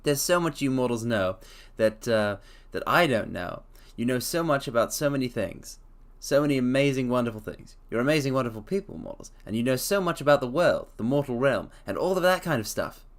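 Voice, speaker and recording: Theatrical man with a lively voice. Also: medium pitch, fairly quick, few pauses. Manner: theatrical, playful, calls listeners mortals; hums softly to himself between phrases. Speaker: streamer. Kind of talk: casual live-stream chat.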